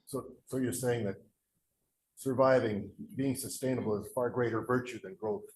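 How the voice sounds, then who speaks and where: A man's voice: mid-range voice, steady tone, moderate pace, a little mellow. A man moderating a symposium Q&A in a joking, conversational way. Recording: distant roomy mic with faint noise.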